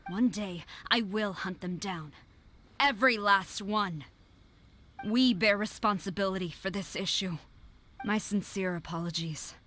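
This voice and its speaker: A woman's voice: mid-range voice, a little slow, bright, forceful tone. A woman voicing a proud, disciplined military general who speaks formally and gives orders in a game. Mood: stern. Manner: formal, commanding, clipped. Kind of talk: game voice acting.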